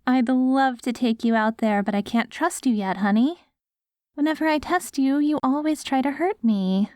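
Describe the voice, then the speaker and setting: A woman's voice: high-pitched, moderate pace, a little mellow, no echo, clean studio sound, lightly acted. A woman playing a doting, patient wife in an ASMR roleplay audio drama, coaxing sweetly like a caregiver. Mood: gently firm. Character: doting wife caring for her ghoul husband after apocalypse.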